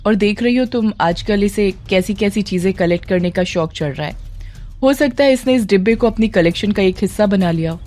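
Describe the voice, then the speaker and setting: A calm young man as a female narrator plays him in an audio horror drama, speaking in a mellow voice. He is conciliatory and reassuring, with faint music underneath. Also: medium pitch, natural voice. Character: calm young man smoothing over a quarrel.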